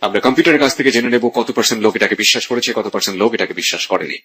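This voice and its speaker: A male radio host with a Bangladeshi accent addresses his listeners. His voice sits at a medium pitch and he rattles through his presenting extremely quickly. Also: bright, forceful tone.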